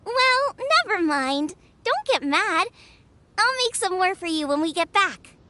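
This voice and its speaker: A woman's voice: extremely high pitch, expressive, a little slow, pushed and bright, full character voice. A woman playing a cheerful, eager and humble young girl chef in a video game. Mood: bubbly. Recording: music behind the voice.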